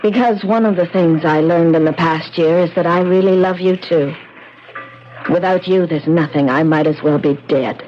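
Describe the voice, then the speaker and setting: An actress playing a world-weary, remorseful waitress, pleading earnestly in 1970s radio drama style. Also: female voice, fairly low pitch, normal speed, slight background noise, close to an everyday voice. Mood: tender earnest. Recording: muffled 1970s radio broadcast, music behind.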